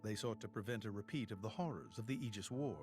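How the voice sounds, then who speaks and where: An actor playing a solemn, courteous old ruler in a game cutscene, speaking formally and with measured dignity. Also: male voice, on the low side, natural voice. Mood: grave, explanatory. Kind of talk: dramatic game cutscene acting. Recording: orchestral music behind the voice.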